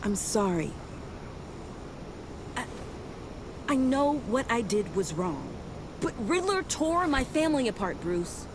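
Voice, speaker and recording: A woman's voice: fairly high pitch, big pitch swings, slow pace, long pauses, background noise. A woman playing a young, guilt-ridden yet defiant former ally in a video game, earnest, confiding and emotional. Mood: anguished, pleading. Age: young adult. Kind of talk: video game dramatic acting.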